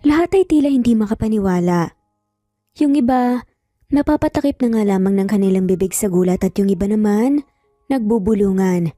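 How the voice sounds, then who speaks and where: A woman's voice: mid-range voice, a little fast, dark tone, no echo, clean studio sound, soft background music. A woman with a Filipino accent narrating a scripted dramatic story.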